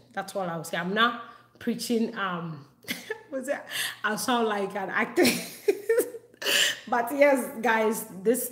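Animated Ghanaian woman vlogger, echoey room. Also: mid-range voice. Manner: animated, laughs often, repeats herself. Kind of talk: casual talk to camera.

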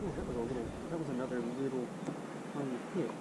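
A man chatting casually and muttering quietly while fishing from a kayak, recorded on an action camera out on the water. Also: medium pitch, quick pace. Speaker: fishing vlogger. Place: kayak on open water, outdoors. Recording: wind and water noise outdoors.

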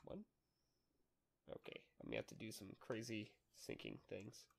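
A young man recording a casual software tutorial, talking offhand and a little hoarse from a cold. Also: on the low side, moderate pace. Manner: rambling, offhand, chuckles to himself. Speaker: tutorial maker.

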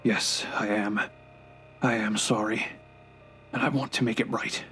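A man playing a bitter, regretful, dying old grandfather in an audio drama, with a husky, breathy voice and halting delivery. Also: lowish voice, fairly even intonation, a bit bright. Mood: remorseful, grieving. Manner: slow, halting. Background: music.

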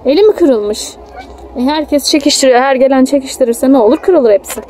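Mellow, higher-voiced woman chatting to her child. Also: a little fast. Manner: explains and points things out, coaxing with endearments. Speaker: mother filming her child. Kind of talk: casual chat with a small child. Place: open-air children's park. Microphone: handheld phone, speaker behind the camera. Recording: outdoor phone video with faint crowd noise.

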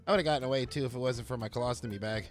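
A man voicing a boastful, out-of-shape getaway driver who talks haltingly and out of breath for a game roleplay. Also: medium pitch, soft background music, natural voice. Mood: winded, boastful.

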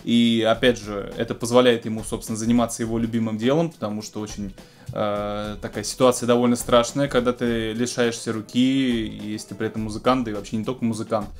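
A man's voice: lowish voice, little pitch movement, fast. A man in his thirties casually presenting news to camera in an explanatory, conversational way, as host of a music technology show. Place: small home music studio, indoors.

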